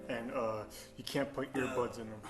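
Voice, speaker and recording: A man's voice: mid-range voice, steady tone, normal speed, music in the background. Easygoing man from Iran, roomy sound. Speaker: guest, martial arts gym owner. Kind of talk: casual interview.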